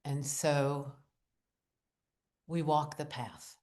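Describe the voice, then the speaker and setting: A woman leading a guided visualization, speaking in deliberate short phrases with long pauses, in a hushed, soothing, incantatory way. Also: low-pitched, fairly even intonation. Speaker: guided meditation leader. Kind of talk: guided visualization, meditative narration.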